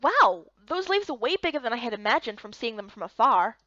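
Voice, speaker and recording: A curious, kindhearted young game heroine, played by a woman, with a fairly high voice that swings expressively. The recording is dry and slightly dull. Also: sing-song, brisk, pushed and bright, no room sound. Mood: awed, curious.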